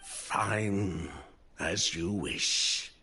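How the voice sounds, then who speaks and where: A suave, guarded merchant from an anime game, with a mid-pitched voice that rises and drops dramatically. He talks formally and theatrically, with a smooth, condescending edge. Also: very animated, unhurried, fairly bright tone, a little hiss or hum. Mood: resigned. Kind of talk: anime game dub acting.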